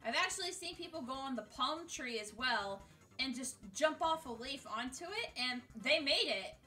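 A woman's voice: sits high, animated, moderate pace, bright, forceful tone, distant mic. A woman hosting a gaming video, giving casual scripted countdown commentary in an exasperated, sweary, jokey way. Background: music.

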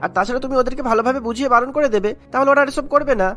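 An actor playing a practical husband who rushes to get ready for the office. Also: high voice, a bit bright, faint music behind. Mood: reasoning. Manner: rapid, hurried.

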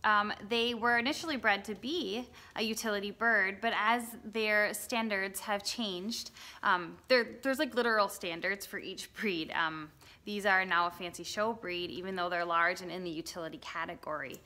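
Woman in her thirties, bright and chatty, casual vlog from a barn coop on a handheld phone. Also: medium pitch, moderate pace. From Wisconsin, United States. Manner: chatty, lots of ums and likes. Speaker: hobby farmer vlogger. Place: inside a wooden barn pigeon coop. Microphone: phone held at arm's length.

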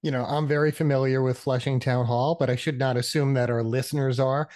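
Dude hosting a local interview show, warm low tone, easygoing. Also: male voice, steady tone, brisk. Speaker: interview host. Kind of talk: interview questions.

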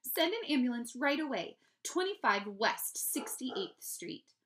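A woman's voice: sits high, big pitch swings, measured pace, a bit bright, slight background noise, voice pushed up, full character voice. A woman voicing a flustered, melodramatic, doting mother in a children's story. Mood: panicked. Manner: dramatic, doting.

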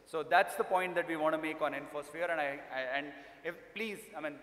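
A middle-aged man moderating a conference panel off the cuff, haltingly, with repeated phrases, on a stage microphone. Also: fairly high pitch, a bit bright. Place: large conference hall stage, indoors. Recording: echoey hall, amplified stage sound.

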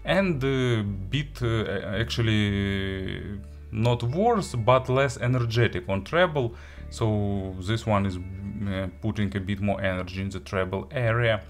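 A man who is a non-native English speaker, giving an unscripted product review in a halting, hesitant way with frequent pauses. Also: on the low side, faint music behind. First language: not English. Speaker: audio gear reviewer.

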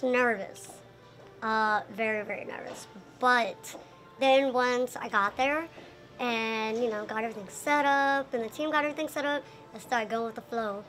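A young woman with a very high voice talks casually in an interview. She is chatty and often slips into quoting other people as she explains things. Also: a bit bright. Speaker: performer being interviewed. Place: indoor lounge by a window.

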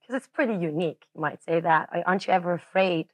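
A woman's voice: lowish voice, fast, dry recording. Young woman host asking light questions. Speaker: TV host, interviewer. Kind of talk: casual interview chat. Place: indoor studio set.

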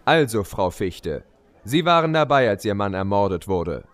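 A man's voice: lowish voice, big pitch swings, moderate pace. A man playing a formal, easily exasperated judge keeping order in a comedy courtroom audio play.

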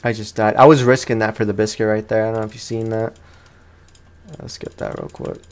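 A young man giving casual, slangy let's play commentary with animated, playfully exasperated reactions, as a gaming YouTuber. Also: fairly low pitch, fairly quick, smooth. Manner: slangy, informal.

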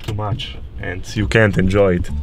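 A young man in his twenties vlogging from inside a small car on a highway, chatty and excitable, laughing often. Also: normal speed. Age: 20s. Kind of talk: casual road-trip vlog. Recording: loud road and engine noise. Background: car and road noise.

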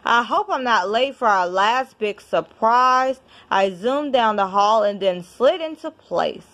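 A woman reading a children's picture book aloud at home in an expressive, deliberate storybook manner. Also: a little high. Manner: measured, deliberate storybook delivery. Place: indoors at home.

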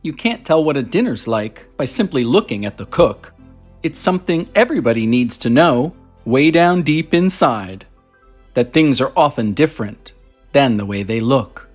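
An American man in his thirties hosting a children's read-aloud show, speaking to young viewers in clear, simple phrases as he presents and reads from a script. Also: mid-range voice, animated, normal speed, echoey room, faint music behind. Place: small furnished room indoors.

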